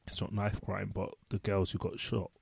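A man co-hosting a casual chat, a social worker speaking in a reflective, measured way. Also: lowish voice, level tone, creaky, husky, no room sound. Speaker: social worker, podcast co-host.

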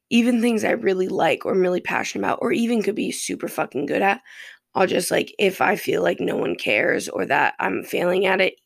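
A podcast host from Ohio with a raspy, gravelly voice that swings widely up and down in pitch. They ramble loosely and curse freely, drifting from one topic to the next. The recording is dry and close, with no room sound. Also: a bit bright, no room sound. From Ohio, USA. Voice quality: raspy, fairly deep voice. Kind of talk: rambling casual monologue.

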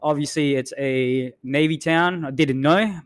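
A man who grew up in the Philippines, co-hosting a podcast in a laid-back, self-deprecating way with many pauses and um's. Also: a little high. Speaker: podcast co-host. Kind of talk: casual storytelling chat.

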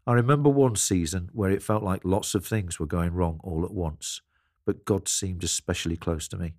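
A man with a deep voice reads a prepared devotional. His delivery is reflective and measured, recorded close and dry with no room sound. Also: darkish tone, no room sound. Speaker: devotional reader. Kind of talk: scripted devotional narration.

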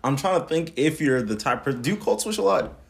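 A man's voice: a little high, animated, a little fast, creaky. A young man from Minnesota asking questions in a blunt, slangy, casual way. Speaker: interviewer. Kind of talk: casual conversation.

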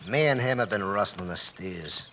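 A man's voice: lowish voice, lively intonation, normal speed, fairly bright tone, slight background noise. A man playing a sullen young cattle rustler in a radio western, with an American Western accent. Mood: sullen, confessing. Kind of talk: old-time radio western acting.

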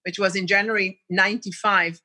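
A woman's voice: medium pitch, fairly even intonation, brisk, bright, forceful tone. A woman in her fifties from Israel, a diplomat being interviewed, speaking thoughtfully and restarting often as she searches for words. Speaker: diplomat guest. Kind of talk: podcast interview.

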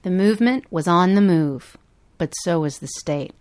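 Low-pitched woman narrating. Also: lively intonation, moderate pace, a little mellow. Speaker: podcast co-host. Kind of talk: scripted documentary narration.

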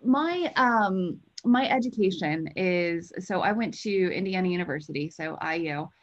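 Low-pitched American woman, animated and informal. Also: a little slow, highs cut off. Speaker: podcast guest, sales coach. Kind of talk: casual interview conversation.

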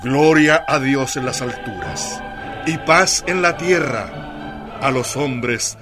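A man's voice: medium pitch, takes it slowly, over music. A man narrating a scripted story in a solemn, declamatory manner.

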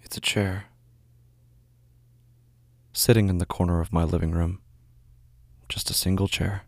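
A man acts out a weary recluse narrating a horror audio drama. His deep voice crackles with fry as he speaks haltingly and introspectively, brooding and anxious, in a close, dry recording. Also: flat delivery, no echo, exaggerated voice. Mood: uneasy, brooding. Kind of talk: first-person horror audio drama monologue.

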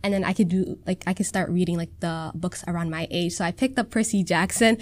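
A woman in her twenties from Minnesota, a podcast guest talking in a relaxed, hesitant way with many "um"s and "like"s. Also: on the low side, brisk. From Minnesota, USA. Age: 20s. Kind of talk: casual chat.

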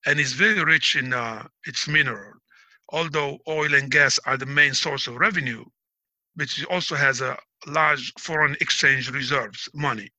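A man's voice: medium pitch, moderate pace, pushed and bright, no echo. A middle-aged man from Algeria, talking in an interview. He explains things like a teacher, proud and emphatic. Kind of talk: casual interview talk.

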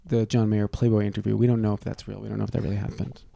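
Gravelly, sarcastic podcast co-host guy. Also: lowish voice, level tone, rapid-fire, crowd noise. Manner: sarcastic, profane, rambling. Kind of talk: snarky casual banter.

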